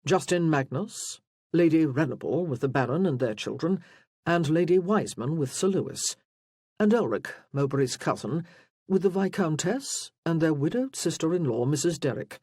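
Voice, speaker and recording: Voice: mid-range voice, expressive, moderate pace, a little mellow, slightly rough, no echo, studio quality. A narrator voicing a haughty, cool aristocratic head of a family, formal and aloof, in a period romance audiobook.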